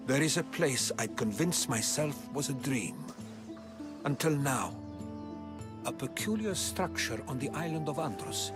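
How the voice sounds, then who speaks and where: An actor playing a learned, calm middle-aged historian who speaks in a measured, reflective way, over game music. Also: male voice, medium pitch. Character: learned older male historian, calm and thoughtful.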